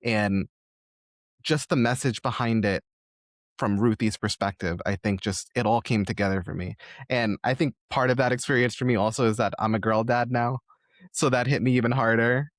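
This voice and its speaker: A man's voice: medium pitch, steady tone, normal speed, dry recording, clean studio sound. A man hosting a conversational podcast interview, speaking in an earnest, effusive and reflective way. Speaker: podcast host.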